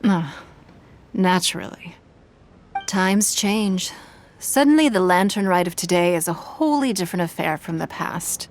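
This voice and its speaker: A woman playing a poised, gracious woman of high standing in a video game, speaking in a measured, formal, elegant manner. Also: lively intonation, a little hiss or hum. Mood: gracious, composed. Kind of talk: video game character dialogue.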